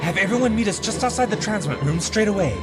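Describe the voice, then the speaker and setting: Guy as a brisk time traveller hero, earnest and bossy, high lively voice, loud music under it. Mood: commanding. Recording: loud music behind the dialogue.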